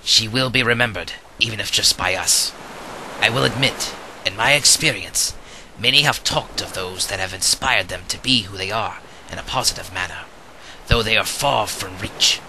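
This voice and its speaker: A man playing a formal, solemn, honourable warrior with measured diction in a fan audio drama. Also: on the low side, lightly acted. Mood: solemn, respectful. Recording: clear background noise.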